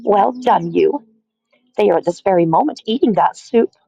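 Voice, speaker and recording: A reader voicing an elderly grandmother, whispering softly and lovingly. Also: medium pitch, animated, normal speed, creaky, husky, no echo, dull audio, pitched above the performer's own voice. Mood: tender. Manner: soft whisper.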